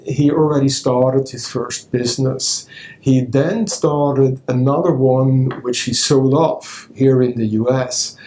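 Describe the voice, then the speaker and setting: A man's voice: medium pitch, mellow, slight background noise. A middle-aged man hosting an interview, introducing his guest and asking questions in a formal, polished way. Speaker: interviewer host. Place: office with wooden chairs, indoors. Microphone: lapel mic. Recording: roomy office echo.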